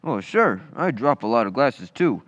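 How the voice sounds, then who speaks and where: A man's voice: medium pitch, big pitch swings, moderate pace, no room sound, muffled recording, natural voice. A man playing a dim, good-natured teenage busboy in radio comedy, with earnest, folksy, ungrammatical speech. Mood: naively proud. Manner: folksy, ungrammatical, earnest gee-whiz delivery. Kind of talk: old-time radio comedy acting.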